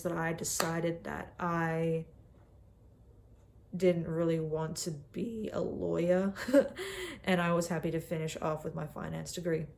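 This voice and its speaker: A woman's voice: lowish voice, darkish tone. A young Australian woman in her twenties talking casually to camera from a cabin, brash and self-mocking. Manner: brash and self-mocking, calls the viewer sweetheart. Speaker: vlogger.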